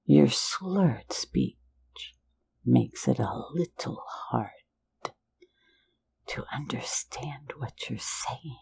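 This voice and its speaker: A playful phantom thief, voiced by a woman, leans close to murmur in a low, gravelly whisper. The tone is dark and unhurried, teasing and flirtatious, delivered right against the mic like an intimate ASMR roleplay. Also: expressive, full character voice. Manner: soft intimate whisper, mocking and coaxing.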